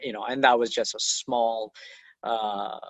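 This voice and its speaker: Man with a softened Trinidadian accent. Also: mid-range voice, steady tone, measured pace, no room sound. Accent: Trinidadian, softened toward Canadian English. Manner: hesitant, many ums and uhs. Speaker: guest. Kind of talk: conversational interview answers.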